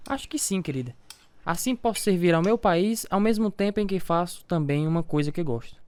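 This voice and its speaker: A man's voice: sits high, expressive, fairly quick, darkish tone. A male actor with a Brazilian accent playing an earnest, devout boxer and young father in a reflective radio drama, telling his own story. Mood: wistful. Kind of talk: radio drama acting with first-person narration. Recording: guitar music under the voice.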